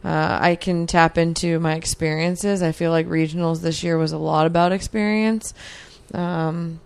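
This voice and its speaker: A woman's voice: low voice, fairly even intonation, moderate pace, creaky, clean tone. A woman in her mid-thirties, a guest answering interview questions in a reflective, earnest way with many fillers. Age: 30s. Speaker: podcast guest, athlete.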